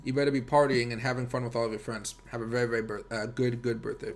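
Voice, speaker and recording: A man's voice: medium pitch, level tone, fast. An American man hosting a livestream with casual, rambling chat and commentary, speaking informally and directly to his viewers. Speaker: livestream host and crypto trader.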